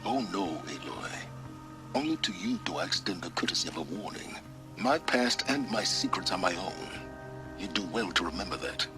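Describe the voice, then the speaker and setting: A man's voice: sits low, sing-song, normal speed, gravelly, music in the background, heavily acted. A man playing an aloof, secretive, menacing scholar in a video game, speaking with cold, condescending precision. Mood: cold, threatening. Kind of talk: video game cutscene dialogue.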